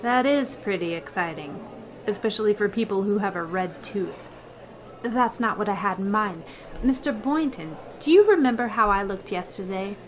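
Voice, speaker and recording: A woman's voice: medium pitch, lively intonation, moderate pace, close to an everyday voice. A woman playing a long-suffering schoolteacher in an old-time radio comedy, with dry, sarcastic delivery. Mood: exasperated, dry.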